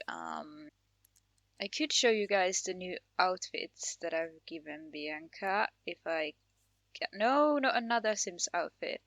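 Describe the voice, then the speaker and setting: A woman's voice: fairly low pitch, vocal fry, a bit bright, no echo. A woman from Finland hosting a casual Let's Play, talking in a chatty, informal way. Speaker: gaming channel host.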